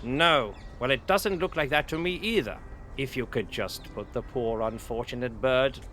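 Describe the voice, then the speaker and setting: A man's voice: medium pitch, moderate pace, a bit bright, a little hiss or hum, close to an everyday voice. An actor playing a harried, kindly male schoolteacher herding children in a children's audio drama. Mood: flustered, scolding.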